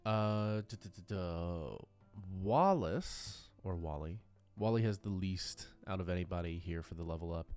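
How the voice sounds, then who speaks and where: A man's voice: sits low, expressive, a little slow, a little mellow, clean tone, faint music behind. A man giving casual, unscripted game master commentary as he works through tabletop character sheets, now and then murmuring little nonsense sounds to himself. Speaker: creator and game master of a tabletop RPG audio drama.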